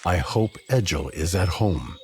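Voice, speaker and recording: An ancient, non-human male fantasy game character, with an exceptionally deep voice full of gravelly creak. He speaks in formal, measured phrases with lively intonation, earnest and resolute. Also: a little hiss or hum. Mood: earnest, thoughtful. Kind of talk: fantasy game cutscene acting.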